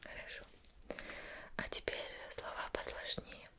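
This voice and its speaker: Woman doing a lady investigator asmr roleplay, all whispered, soft n breathy, calm careful questioning, politely pushy. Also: lightly acted. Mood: calm, instructing. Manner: measured, deliberate, politely insistent.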